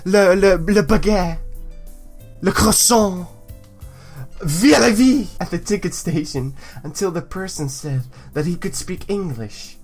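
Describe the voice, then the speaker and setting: A woman hosting a let's play, switching between reading game text aloud and excitable, sweary commentary. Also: fairly low pitch, unhurried, husky, soft background music. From Europe, near Belgium. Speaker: gaming video host.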